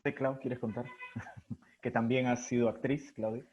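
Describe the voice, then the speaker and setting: Over slightly muffled, lo-fi call audio, a man of medium pitch speaks as an interviewed director. He is thoughtful and reflective, building his explanations out of examples. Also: steady tone, unhurried, darkish tone. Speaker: radio theatre director being interviewed. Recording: dull lo-fi online call audio.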